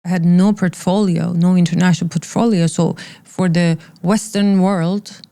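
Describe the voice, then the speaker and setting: A woman's voice: fairly low pitch, steady tone, normal speed, dark tone. A woman in her thirties, originally from Iran, speaking candidly and with emphatic repetition as an interview guest. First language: Persian.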